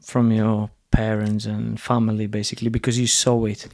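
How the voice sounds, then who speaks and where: A man's voice: lowish voice, monotone, moderate pace, creaky, a little mellow, no room sound. Podcast host man, dry close sound. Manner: short affirming interjections. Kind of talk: casual interview.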